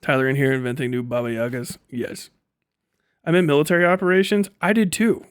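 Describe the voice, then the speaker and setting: A man's voice: mid-range voice, fairly even intonation, fairly quick, clean tone, no echo, clean studio sound. A man doing casual livestream commentary, talking in an emphatic, profane, ranting way. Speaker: livestream host.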